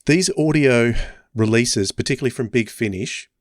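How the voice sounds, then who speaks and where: A man's voice: medium pitch, moderate pace, no echo. Male podcast host, close dry sound. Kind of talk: conversational podcast discussion.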